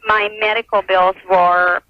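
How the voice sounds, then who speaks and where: A woman's voice: mid-range voice, little pitch movement, a little slow. An American woman chatting casually over the telephone as a caller to a radio advice show. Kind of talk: casual phone conversation. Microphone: cheap telephone handset. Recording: muffled telephone line on radio.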